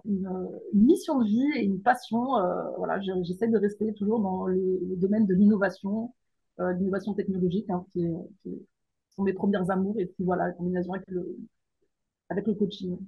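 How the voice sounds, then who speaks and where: A middle-aged woman from the Paris region speaks as an interview guest. She thinks aloud, restarts and pauses often, and her husky voice comes through a dull, muffled remote line. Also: medium pitch, steady tone. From France, Paris region. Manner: thoughtful, hesitant with many fillers and restarts. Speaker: guest, mindset coach and teacher.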